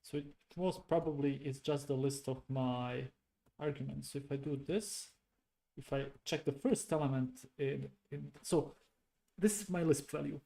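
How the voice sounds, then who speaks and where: A man thinking aloud as he debugs code at his desk, slow and halting, muttering to himself. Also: medium pitch, vocal fry, warm tone. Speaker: programming streamer.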